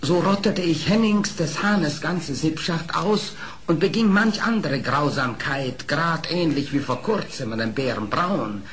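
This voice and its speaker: An actor playing a sly, smooth-tongued fox in a radio play, speaking in a formal, theatrical, pleading manner. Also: male voice, fairly high pitch, expressive, unhurried, fairly bright tone. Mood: remorseful. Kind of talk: classic German radio play acting. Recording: old radio play record, noisy.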